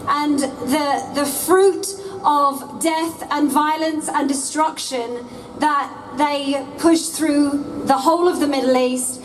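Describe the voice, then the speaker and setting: A woman with a fairly high voice speaks with an earnest, preacherly cadence. She is recorded from some distance, with faint outdoor noise behind her, as at a street rally.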